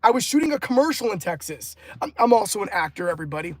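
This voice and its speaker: A man's voice: sits high, sing-song, quick pace, no echo. A man from Los Angeles hosting a motivational live stream, upbeat and effusive, laughing often and repeating words as he reads out chat comments. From Los Angeles, California. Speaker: livestream host and motivational coach.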